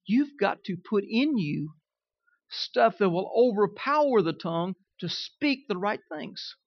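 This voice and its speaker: High-voiced man preaching with a Southern twang. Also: lively intonation, unhurried, creaky, dry recording. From Kansas. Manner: conversational preaching, often checks in with listeners. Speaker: pastor. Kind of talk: sermon. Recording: dull, dry recording.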